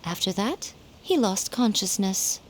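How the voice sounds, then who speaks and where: A woman's voice: mid-range voice, sing-song, unhurried, slight background noise. A woman voicing a sly, playful, self-assured fox shrine maiden in a video game, with a teasing, composed delivery over music. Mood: explaining, composed. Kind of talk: video game character dialogue.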